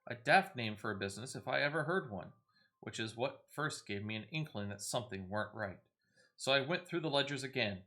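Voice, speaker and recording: A man's voice: mid-range voice, fairly bright tone, lightly acted. Man voicing a blunt salty old sea-dog commander. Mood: grim, conspiratorial. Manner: blunt, cursing, folksy dialect turns. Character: gruff, salty male seafaring veteran commander. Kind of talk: reading game dialogue in character.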